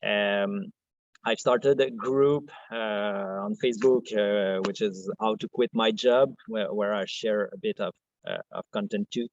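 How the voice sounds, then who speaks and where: A man with a French Canadian accent, a podcast guest talking in a soft, hesitant way, full of restarts and fillers. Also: lowish voice, steady tone, unhurried, no echo, muffled recording. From Quebec, Canada. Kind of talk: casual interview chat.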